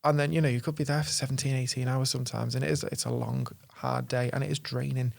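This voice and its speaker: Fast-talking, creaky young man. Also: medium pitch, steady tone, no room sound. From North Wales and Chester, England. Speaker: podcast guest, actor. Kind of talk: casual chat.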